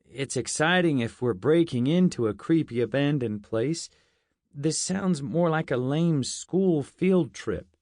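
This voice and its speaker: A man's voice: fairly high pitch, lively intonation, measured pace, darkish tone, no room sound. Guy reading as a skeptical kid who grumbles, comic story, dry close sound. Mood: scornful.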